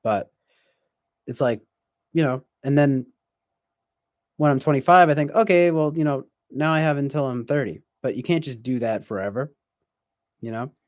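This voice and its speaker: A man's voice: medium pitch, moderate pace, long pauses, dry recording, studio quality. A man in his twenties chatting casually on a stream, reflective and rambling, with many fillers and restarts. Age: 20s. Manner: reads viewer comments aloud. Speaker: livestream host.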